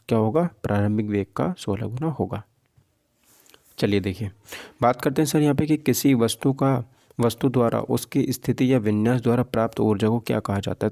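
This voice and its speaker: Male tutor, mellow tone, dry close sound, mid pitch, informal question-and-answer teaching. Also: gravelly, no room sound. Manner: asks questions and answers them himself; informal, Hindi mixed with English terms. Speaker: physics teacher. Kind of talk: exam practice lesson, question and answer walkthrough.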